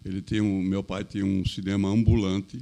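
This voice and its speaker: An older man answers interview questions in a low, gravelly voice. He speaks plainly and haltingly, often repeating words and phrases as he remembers. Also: little pitch movement, dark tone, a little hiss or hum. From Brazil. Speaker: podcast guest, business owner. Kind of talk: casual interview chat.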